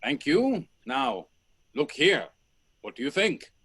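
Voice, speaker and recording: An eccentric gentleman inventor in a radio play, with a fairly high male voice. He speaks formally and emphatically, utterly sure of himself and grandly insistent. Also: very animated, slow, husky, heavily acted. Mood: assured.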